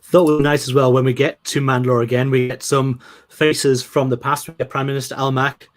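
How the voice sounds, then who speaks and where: Relaxed guy on a podcast, steady easy recap talk. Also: mid-range voice, brisk. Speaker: podcast co-host. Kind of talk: casual chat.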